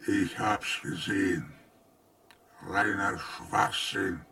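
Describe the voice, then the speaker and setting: A depressed robot for radio drama, super slow, husky voice, deadpan and fed up with everything. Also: male voice, sits low, heavily acted. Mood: weary contempt. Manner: deadpan, terse, morose. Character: gloomy, bitter robot, weary and contemptuous. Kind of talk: German radio drama acting.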